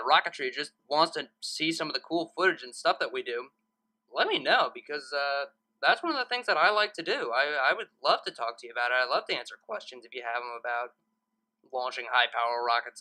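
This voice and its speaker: A woman's voice: low-pitched, sharp tone, rough recording. A woman who hosts story readings, moving between narration and casual chat. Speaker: fan fiction reader and channel host.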